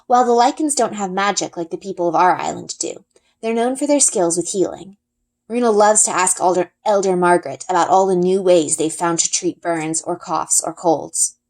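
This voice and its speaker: A woman narrating a children's book as an expressive read-aloud. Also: lowish voice, moderate pace. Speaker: story reader.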